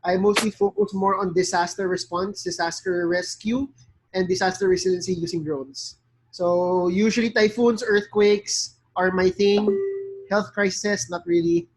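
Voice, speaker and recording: A man from the Philippines introducing his drone work on a video call, relaxed and matter-of-fact. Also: high voice, normal speed, low-quality recording. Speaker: drone maker.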